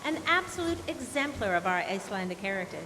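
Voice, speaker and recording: A woman's voice: mid-range voice, big pitch swings, fast talker, lightly acted. A woman playing a sociable, proud married lady with effusive, formal phrasing in an old-time radio drama. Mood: effusive, welcoming. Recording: noisy live stage recording.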